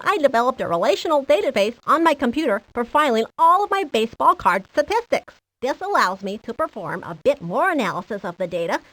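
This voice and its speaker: A woman's voice: on the high side, a bit bright, dry recording, poor audio. A woman voicing a brainy, pedantic young boy with precise, wordy speech in a video game. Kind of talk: scripted video game character lines.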